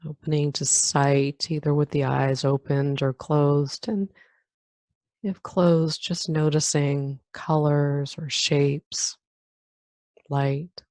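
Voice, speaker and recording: A woman leading a guided meditation in a calm, unhurried manner, leaving long pauses between phrases. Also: deep voice, no echo, clean studio sound. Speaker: meditation guide, yoga nidra teacher. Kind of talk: guided meditation, body scan.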